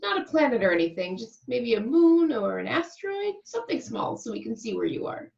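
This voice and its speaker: Lively high-voiced woman playing a skeptical actress. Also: a little fast, vocal fry, muffled recording. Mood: hesitant, curious. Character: skeptical actress, hesitant and polite, testing a stranger. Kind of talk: amateur table read of a film script.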